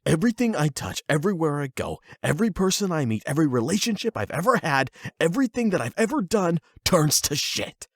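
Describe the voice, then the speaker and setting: A man's voice: a little high, very animated, fairly quick, hardly any pauses, no room sound, clean studio sound, pitched above the performer's own voice. Man acting a brash, bitter young half-demon delinquent. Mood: agitated, self-loathing. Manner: brash, sweary, defensive. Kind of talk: anime audio roleplay acting.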